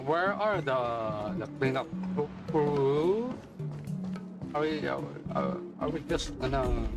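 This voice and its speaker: Lively male game streamer, slow and slangy. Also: mid-range voice. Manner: slangy, muttering reactions to the game. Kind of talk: gameplay reaction commentary, casual chat. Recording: game music loud behind voice. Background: loud game music.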